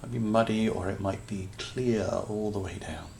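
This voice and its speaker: A man leading a guided meditation in a calm, soothing manner, leaving long pauses between short phrases. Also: lowish voice, a little hiss or hum. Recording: nature ambience with birdsong behind voice. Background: natural sounds, birds.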